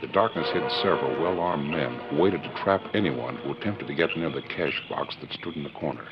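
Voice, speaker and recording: Grave radio storyteller, bass voice, suspenseful, muffled, loud music behind. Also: male voice, fairly quick. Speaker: narrator. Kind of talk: dramatic scripted radio narration. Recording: muffled 1940s radio recording.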